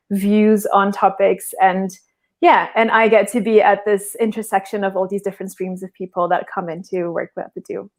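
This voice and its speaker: Portuguese-born woman explaining her work. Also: medium pitch. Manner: thoughtful, restarts phrases while explaining. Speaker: guest. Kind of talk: casual interview chat.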